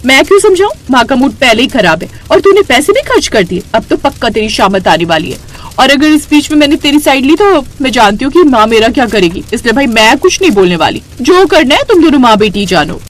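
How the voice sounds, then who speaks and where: A woman's voice: high voice, big pitch swings, a little fast. A woman voicing a selfish younger stepsister in a cartoon story, taunting her elder with a sneering, bossy manner. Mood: sulky, dismissive.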